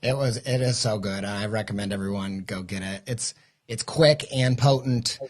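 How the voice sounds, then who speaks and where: A man's voice: fairly low pitch, normal speed. A middle-aged man hosting a creative podcast, talking eagerly with loose, rambling restarts. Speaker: podcast host. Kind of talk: podcast intro and interview.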